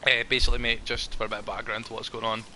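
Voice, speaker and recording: A man's voice: mid-range voice, level tone, rapid-fire, pushed and bright, slight background noise. A man chatting in character as a ranger in a roleplay, friendly and rambling, full of ums and restarts.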